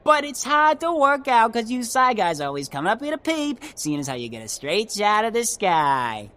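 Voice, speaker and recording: A high-voiced, boastful speedy jogger in a comic audio drama. The pitch leaps wildly all over as the little braggart jabbers away in excitable, slangy bursts. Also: female voice, normal speed. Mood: boastful, zippy. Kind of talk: comedic audio drama acting.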